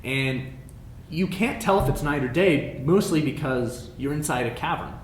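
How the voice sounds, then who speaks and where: A man narrating a tabletop fantasy game as game master, improvising in a halting way and repeating phrases. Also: animated. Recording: roomy echo, faint background noise, rumble.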